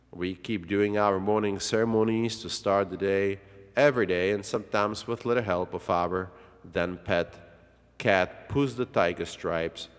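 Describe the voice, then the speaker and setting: A man narrating a children's read-along story in a dramatic way, drawing out words for effect. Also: sits low. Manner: dramatic, with drawn-out words and exclamations. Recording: long hall-like reverb.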